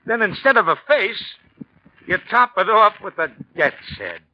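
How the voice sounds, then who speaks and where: Shrewd, offhand police lieutenant, lively pitch. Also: male voice, measured pace. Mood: slyly suspicious. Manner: offhand, folksy, slyly probing. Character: shrewd, casual homicide police lieutenant, quietly suspicious. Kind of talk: 1940s radio drama acting. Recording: dull, noisy vintage radio recording.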